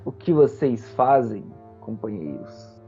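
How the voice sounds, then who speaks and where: Mestre de RPG, homem de voz aveludada. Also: male voice, mid-range voice, sing-song, dark tone, lo-fi sound, soft background music. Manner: theatrical, with deliberate pauses. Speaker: game master. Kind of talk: dramatic tabletop game narration.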